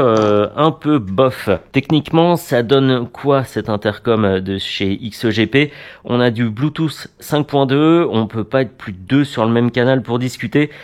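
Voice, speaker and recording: A man presenting a product review in a conversational, matter-of-fact, unscripted way. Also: medium pitch.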